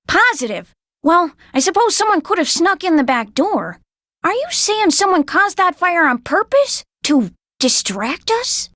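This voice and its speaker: An actress playing a harried, sharp-tongued middle-aged American innkeeper in a video game, with folksy, wisecracking delivery and old-fashioned slang. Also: female voice, very high-pitched, dry recording, clean studio sound, exaggerated voice. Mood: shocked, indignant, then flustered, worried. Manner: folksy, wisecracking, exasperated, dramatic.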